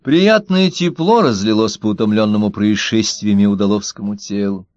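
A man narrating an audiobook in an expressive, ironic storytelling manner, on a dull, dry recording. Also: fairly low pitch, normal speed, dry recording. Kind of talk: audiobook reading.

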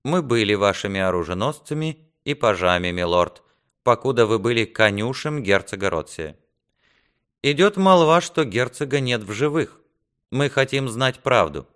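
A man's voice: mid-range voice, sing-song, normal speed, a bit bright, clean tone, voice pushed up. Man as a defiant squire, raised voice. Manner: firm, solemn, declamatory. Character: defiant, resolute male squire speaking for the garrison. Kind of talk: audiobook character acting.

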